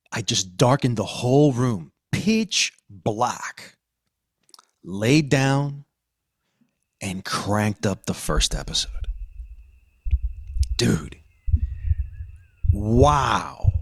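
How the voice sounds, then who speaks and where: A man's voice: mid-range voice, no room sound. A man hosting a podcast in casual conversation, speaking informally and with emphasis, using plenty of slang. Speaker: podcast host. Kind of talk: casual chat.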